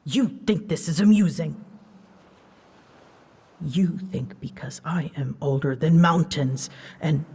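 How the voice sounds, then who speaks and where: A woman voicing an ancient, huge female dragon in a scripted roleplay drama, haughty and easily flustered, scolding her rider in formal, measured speech with hidden fondness. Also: deep voice, warm tone, heavily acted. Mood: flustered, defensive. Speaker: voice actor. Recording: long reverb, clear background noise.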